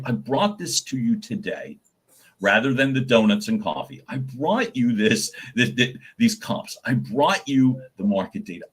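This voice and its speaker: A man giving advice in a live question and answer session, animated and given to repeating words as he starts a thought, with a naturally loud voice. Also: medium pitch, husky. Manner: says he has a naturally loud voice. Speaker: guest voice coach.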